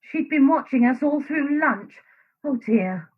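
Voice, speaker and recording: A woman's voice: high voice, expressive, unhurried. An actress playing an anguished, guilt-ridden woman in a secret love affair in a radio play, earnest and fretful. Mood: distressed. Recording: thin phone-like sound.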